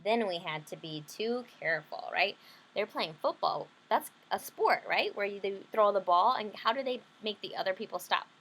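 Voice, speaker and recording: A woman's voice: medium pitch, expressive, normal speed, a bit bright, poor audio. A woman leading an interactive read-aloud lesson for children, explaining simply and often checking in with questions. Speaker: teacher reading a picture book to children.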